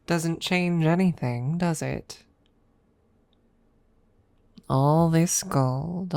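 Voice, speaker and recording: A woman voicing a proud, possessive female dragon who teases a rival in a haughty, deliberate manner, in an intimate ASMR audio roleplay. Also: low voice, vocal fry, a little mellow, a little hiss or hum, full character voice. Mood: haughty challenge, then possessive boasting. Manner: haughty, teasing, deliberate with pauses.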